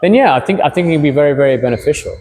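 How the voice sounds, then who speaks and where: A man's voice: fairly high pitch, lively intonation, rapid-fire, darkish tone, slight background noise. A gym owner from Kenya answering interview questions in a casual, hesitant way, often repeating words as he thinks.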